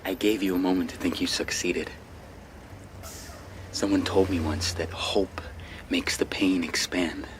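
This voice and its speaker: An actor playing a bitter young avenger in a radio drama, deliberate and menacing, often hushed. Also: male voice, low voice, steady tone. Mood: cold menace. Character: hardened young avenger, bitter, cold and merciless. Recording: faintly noisy radio drama recording.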